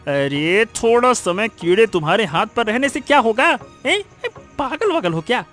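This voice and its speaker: A man's voice: high-pitched, sing-song, normal speed, a bit bright, faint music behind. A man playing an irritable, scheming cartoon husband who scolds with impatient sarcasm. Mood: irritated. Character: irritable scheming husband bickering with his wife. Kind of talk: Hindi moral-story cartoon dubbing.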